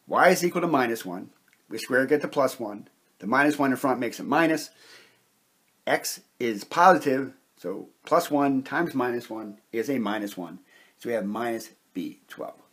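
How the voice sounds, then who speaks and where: A man with a slightly husky voice giving a methodical, step-by-step explanation as a science instructor at a whiteboard. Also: mid-range voice, animated, normal speed, a bit bright. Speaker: chemistry instructor.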